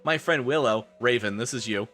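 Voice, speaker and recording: A man's voice: mid-range voice, brisk, bright, forceful tone, soft background music. A man live streaming a dramatic reading of fan fiction, breaking off into exasperated asides.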